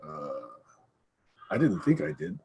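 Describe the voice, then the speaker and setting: A hoarse man hosting a casual group video call, speaking low and clearing his throat often. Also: very animated, slow pace, noisy background. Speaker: discussion group host. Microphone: computer microphone on a video call.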